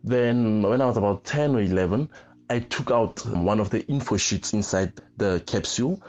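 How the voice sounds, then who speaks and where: A man's voice: lowish voice, a little mellow. Young South African man, dull lo-fi sound. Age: 20s. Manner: reflective, personal storytelling. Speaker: interviewee. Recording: dull lo-fi audio.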